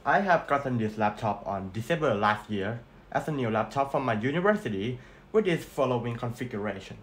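A young man with a Thai accent, reading a scripted tech review as voice-over and listing specs in an earnest, steady way. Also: mid-range voice, brisk, noisy background. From Thailand.

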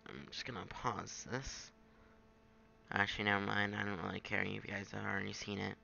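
Gravelly man giving a casual tutorial. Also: on the low side, steady tone, fairly quick, bright, forceful tone, slight background noise. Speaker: tutorial maker. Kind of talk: casual off-the-cuff software tutorial. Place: at a home computer, screen recording. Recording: dull lo-fi home microphone.

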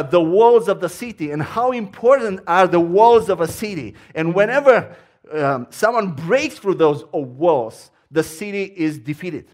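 A man in his thirties with a Romanian accent, a guest preacher in a church giving an earnest, fervent sermon with frequent restarts. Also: high-pitched. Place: church sanctuary.

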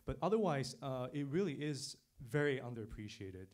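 A man's voice: mid-range voice, animated, normal speed, darkish tone. A man who grew up in Taiwan, a film producer speaking on a theater stage panel with a handheld mic, answering in a fluent, thoughtful way that builds his point step by step. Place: theater stage before an audience.

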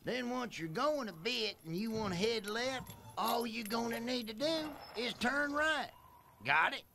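A man voicing a dim, good-natured country tow truck in an animated game, with a slow Southern US drawl. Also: very high-pitched, vocal fry, slight background noise, full character voice. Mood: eager, friendly. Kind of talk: animated game cutscene acting.

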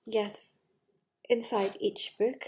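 A middle-aged woman with a breathy voice, reading a fairytale to children with gushing, chatty asides in a living room. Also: mid-range voice, flat delivery, drawn-out words, noisy background. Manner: gushing, wistful enthusiasm for the book. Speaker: children's storyteller. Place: living room with large glass doors. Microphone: device camera at arm's length.

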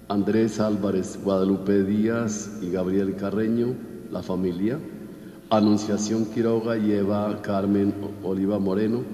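Low-voiced Colombian priest in an echoey church. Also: male voice, warm tone. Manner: measured, list-like recitation of names. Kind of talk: reading out Mass intentions from a list. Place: large church, at the altar. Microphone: altar microphone. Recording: echoey church with long reverb.

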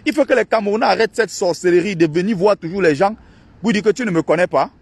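A man's voice: breathy edge, no echo. A man recording himself by a lake on a phone, ranting and mocking in an indignant monologue to his followers. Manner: indignant, ranting and mocking, quick shifts in pitch. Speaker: online activist and channel host. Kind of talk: outdoor vlog monologue. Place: outdoors by a lake in a park.

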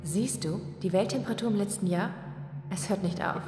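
A woman's voice: medium pitch, a little fast, a little mellow, faint music behind, lightly acted. A woman playing a guarded, insistent young scientist on the run in an audio drama. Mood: insistent, worried. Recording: reverberant hall with clear noise.

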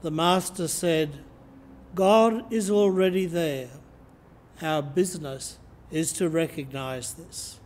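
Slow, measured older Australian priest. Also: male voice, on the high side, darkish tone, a little hiss or hum. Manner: slow, measured reading from notes. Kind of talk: sermon. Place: church sanctuary, indoors. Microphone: lectern microphone, camera in front.

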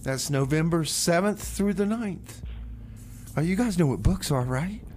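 A man's voice: sits high, slight background noise. A man hosting a community radio music show, talking casually like a DJ with wry, offhand asides. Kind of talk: casual radio DJ talk, announcing songs and local events.

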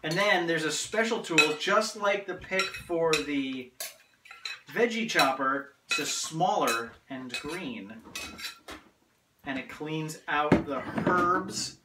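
A playful man in his thirties chats through a recipe in a small home kitchen, picked up by a camera across the room. The room rings with echo and there is clear kitchen clatter behind him. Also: fairly high pitch, expressive, slow, very noisy. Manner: playful, laughing often. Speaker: cooking show host. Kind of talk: casual cooking demo with friends. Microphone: far camera. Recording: roomy kitchen, camera far off.

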